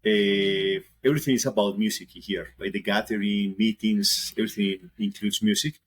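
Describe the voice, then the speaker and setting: A man's voice: on the low side, steady tone, moderate pace, husky. A Colombian man in his late thirties who speaks English with a Colombian accent as a second language, a guest musician who talks casually and often repeats words while he searches for them. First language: Spanish. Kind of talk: casual interview talk.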